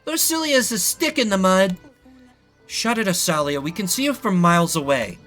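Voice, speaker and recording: Man putting on a petulant, higher-pitched young princess. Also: animated, normal speed, a bit bright, faint music behind. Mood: sulky, snippy. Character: bickering young princess, sulky and taunting.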